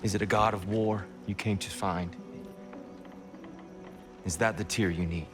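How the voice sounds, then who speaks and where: An actor playing a humbled ancient god who has renounced war, speaking softly and with regret. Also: male voice, on the low side, fairly even intonation, natural voice. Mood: weary. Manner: soft-spoken, measured, regretful. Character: weary ancient male god, gentle and humbled. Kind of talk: video game cutscene acting. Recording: game audio with music underneath.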